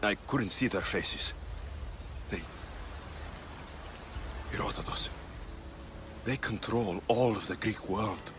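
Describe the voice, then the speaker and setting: A man's voice: on the low side, slow pace, pauses often, background noise. A man playing a grim, driven young warrior in a video game, terse and intense, with music behind him. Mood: grim, urgent. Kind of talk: dramatic video game acting.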